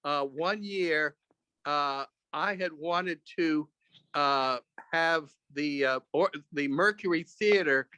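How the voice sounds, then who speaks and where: A man telling earnest, halting reminiscences to a group over a video call. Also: a little high, pushed and bright, clear, resonant tone, dry recording. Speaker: speaker sharing memories at an online club meeting. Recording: dull, dry video call audio.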